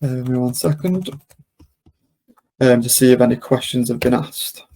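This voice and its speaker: A man presenting a live software demo and question session, explaining step by step in an informal, hesitant way. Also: mid-range voice, moderate pace, gravelly. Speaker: webinar presenter and software demonstrator.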